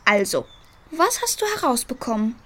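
Woman as an impatient, high-voiced little cat. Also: sing-song, moderate pace, bright, forceful tone, full character voice. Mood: demanding. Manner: curt, scornful questioning. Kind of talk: German children's radio play acting.

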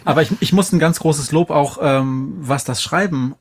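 A man on a podcast panel, giving feedback in a halting way, rephrasing as he goes. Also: a little high, a crowd in the background. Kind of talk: casual discussion and feedback.

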